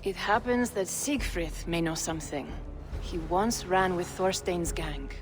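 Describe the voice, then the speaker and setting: A woman's voice: fairly low pitch, animated, unhurried. An actress playing a blunt, sardonic noblewoman in a video game, speaking in formal phrases. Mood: sardonic, urging. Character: blunt, sardonic noblewoman scolding a Viking king. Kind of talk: dramatic video game acting. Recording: long hall reverb, music behind.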